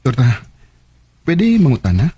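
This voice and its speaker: An actor playing a shy, smitten young working man with a Filipino Cebuano accent, halting and nervous, in a radio drama. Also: mid-range voice, big pitch swings, mellow, slightly rough, full character voice. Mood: smitten, hesitant. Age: young adult. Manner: hesitant, halting with stammered interjections. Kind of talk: Bisaya radio drama acting. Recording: radio drama with music underneath. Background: background music.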